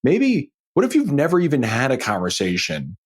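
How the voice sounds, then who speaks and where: A man's voice: mid-range voice, huge pitch swings, moderate pace, breathy edge. A middle-aged man hosting a financial advice podcast solo, talking conversationally in an emphatic, folksy way with frequent rhetorical check-ins. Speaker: podcast host and financial planner.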